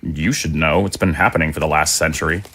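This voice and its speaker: A middle-aged man playing a devoted, gentle undead father in an audio drama, speaking in a halting, earnest way. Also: a bass voice, steady tone, slightly rough, heavily acted. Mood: easygoing.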